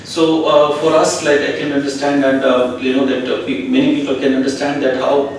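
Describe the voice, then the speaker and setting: A man's voice: medium pitch, flat delivery, a little fast, slight background noise. A man interpreting consecutively at a formal meet, hesitant and full of fillers. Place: conference hall. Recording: echoey hall, far mic.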